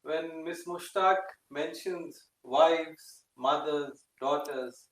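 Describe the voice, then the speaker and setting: A man's voice: a little high, very slow, a bit bright, far from the mic, a little hiss or hum. A man asking interview questions politely, with hesitant phrasing. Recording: echoey room.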